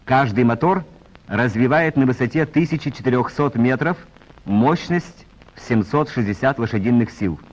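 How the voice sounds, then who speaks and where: A male narrator heard through a dull, muffled old film soundtrack. He reads technical script in the formal manner of a 1940s announcer. His delivery is measured, at a middling pitch. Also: expressive. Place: voice-over recorded for a film.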